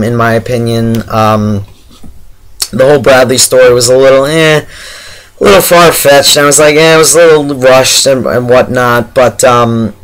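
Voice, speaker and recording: A man with a medium-pitched voice that sounds hoarse and worn out talks casually to his viewers. He reviews television in a chatty, halting way, like someone exhausted after straining his voice. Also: poor audio. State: hoarse from shouting, very tired. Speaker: YouTube TV episode reviewer. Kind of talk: casual spoken review to camera.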